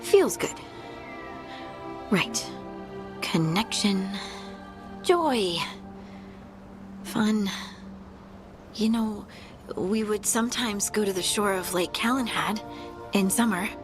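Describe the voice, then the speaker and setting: An animated, earnest young woman scout. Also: mid-range voice, slow pace, pauses often. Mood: pensive. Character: earnest, awkward, cheerful young woman scout with rock-moving magic. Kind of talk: naturalistic video game voice acting. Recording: game music behind the voice. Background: game music.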